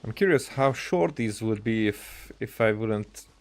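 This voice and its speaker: A man's voice: on the low side, lively intonation, a little slow, creaky. A man giving casual commentary as he plays a video game, rambling and often searching for his words. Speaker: streamer playing a video game.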